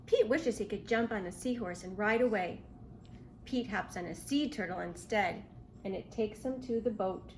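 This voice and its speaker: A woman reading a picture book aloud to young children in an expressive, questioning way. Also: medium pitch, moderate pace, a little hiss or hum. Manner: expressive, asks the listener questions. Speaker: children's storybook reader.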